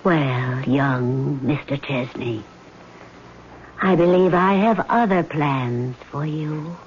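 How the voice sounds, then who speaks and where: An actress playing a vengeful, weary female ghost in a vintage radio drama, speaking in a haughty, commanding, deliberate way. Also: sits low. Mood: weary, resolute. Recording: muffled vintage radio recording with hiss.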